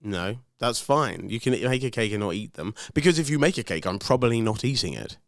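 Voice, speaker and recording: Podcast co-host, man around forty, playful and quick, recorded close and dry. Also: lowish voice, expressive, dry recording. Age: 40s. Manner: teasing, quick banter. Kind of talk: casual chat. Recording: dry close studio sound.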